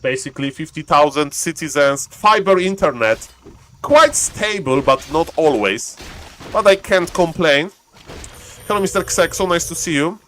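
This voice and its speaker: A man from Poland with a Polish accent, casting a live strategy game in chatty, excitable English and answering his chat. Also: a little high, normal speed, slight background noise. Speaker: game caster.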